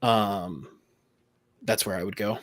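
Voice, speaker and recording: A man's voice: lowish voice, steady tone, slow, fairly bright tone. A man in a casual, opinionated discussion, talking as a podcast host about games.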